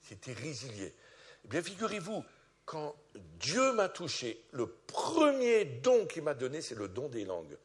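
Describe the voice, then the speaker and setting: Animated French-speaking pastor, lively pitch. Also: male voice, unhurried. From France. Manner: animated, rising to loud peaks. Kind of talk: testimony sermon.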